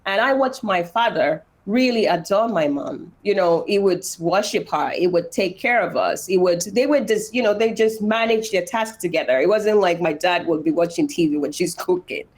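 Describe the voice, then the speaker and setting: A woman's voice: lowish voice, normal speed, few pauses, darkish tone. A woman in her thirties from Nigeria with a Nigerian accent, telling her life story earnestly as an interview guest. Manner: earnest, emphatic, repeats phrases for weight.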